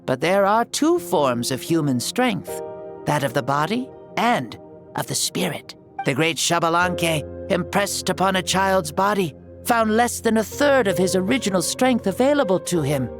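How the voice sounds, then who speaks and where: A woman's voice: fairly low pitch, lively intonation, measured pace, over music. A woman voicing an ancient male warrior god stuck in a boy's body, playful and boastful with a grand manner. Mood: grandiose.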